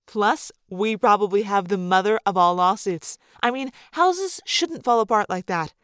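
A woman voicing a brisk, combative lawyer mother in an audiobook story. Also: mid-range voice, animated, fairly bright tone, clean tone, no echo, studio quality. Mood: gleefully combative.

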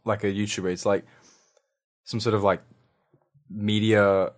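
A man chatting on a podcast, pausing and searching for words. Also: fairly low pitch, flat delivery. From Australia. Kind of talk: casual podcast chat.

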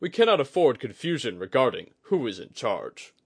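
A man voicing a proud, belligerent warrior commander in an audiobook story, loud, scornful and commanding. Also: medium pitch, big pitch swings, moderate pace, creaky, breathy edge, pitched above the performer's own voice, exaggerated voice. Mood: stern, commanding.

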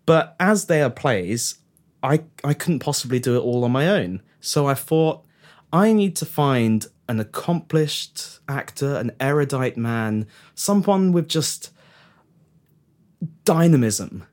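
A man presents a comedy podcast with a very animated, rising and falling voice. His chat is playful and teasing, somewhere between prepared patter and banter. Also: mid-range voice, slow pace. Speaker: podcast host and writer. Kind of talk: comedic scripted-sounding chat.

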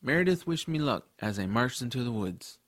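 A male narrator tells a fantasy adventure in the first person, as its hero. His voice has a medium pitch and is recorded close and dry. The delivery is scripted storytelling. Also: expressive, a little fast, a bit bright, no room sound.

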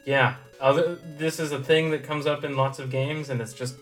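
A young man co-hosting a podcast, talking about a game in a relaxed, thoughtful way with wry humor. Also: fairly high pitch, normal speed, faint music behind. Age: young adult. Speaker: podcast co-host. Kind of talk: casual game discussion.

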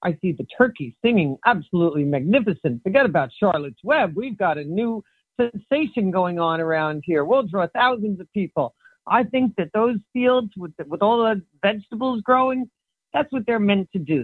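A woman's voice: medium pitch, animated, moderate pace, slightly rough, dry recording. A woman playing a folksy, bumbling farmer in an improvised comic story. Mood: admiring. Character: folksy bumbling farmer, sing-song name, exclaims at mishaps. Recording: muffled, phone-like line.

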